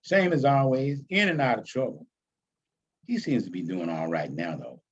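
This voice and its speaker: Man reading as a polite, husky-voiced family friend. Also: mid-range voice, lively intonation, moderate pace, highs cut off, pitched below the performer's own voice. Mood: reflective. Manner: polite, easygoing.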